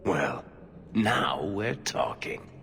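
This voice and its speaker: A man playing a wry, smooth-talking storyteller rogue in a video game, with a conversational delivery. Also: deep voice, sing-song, extremely slow, heavily acted. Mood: wry, wary. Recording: loud game music behind.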